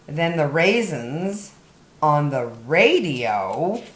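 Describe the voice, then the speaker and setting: A woman hosting story time, reading a picture book aloud to young children with deliberate, dramatic emphasis on key words. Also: low-pitched, very animated, a little hiss or hum. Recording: roomy, echoey indoor sound.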